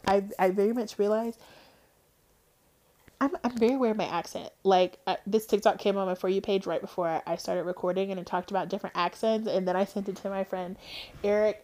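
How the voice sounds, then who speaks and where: A woman's voice: mid-range voice, steady tone, a little fast, mellow, a little hiss or hum. A young woman with an Atlanta Southern accent hosts her own podcast in a loose, chatty way. She rambles from one thought to the next and fills the gaps with ums and likes. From North Georgia, US. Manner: rambling, chatty, trails off with fillers. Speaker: podcast host. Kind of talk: casual chat.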